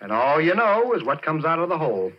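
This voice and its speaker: A man's voice: a little high, very animated, brisk. An actor in a 1940s radio drama playing a skinny, jittery oil-field man, with a hesitant, colloquial way of talking. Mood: tired, casual. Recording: muffled 1940s radio recording.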